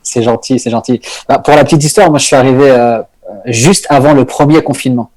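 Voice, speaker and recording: A man's voice: medium pitch, steady tone, brisk, darkish tone, low-quality recording. A young man from northern France, a comedian guest chatting casually on a podcast, hesitant with many fillers and restarts.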